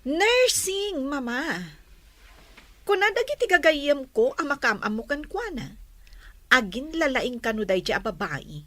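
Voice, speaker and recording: A woman's voice: high-pitched, big pitch swings, unhurried, bright, forceful tone, no room sound, exaggerated voice. A woman with an Ilocano accent playing a coaxing, secretive teenage daughter in an audio drama. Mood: gossipy. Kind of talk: Filipino audio drama acting.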